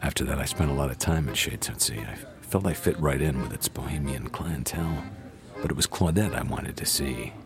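A man's voice: very deep voice, brisk, creaky. An American man playing a world-weary lover narrating a film noir radio drama. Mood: smitten, plain. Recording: music behind the voice.

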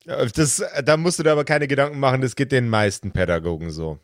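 A man's voice: mid-range voice, fast, no echo, clean studio sound. A man co-hosting a podcast, chatting casually in a playful, informal way. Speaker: podcast co-host.

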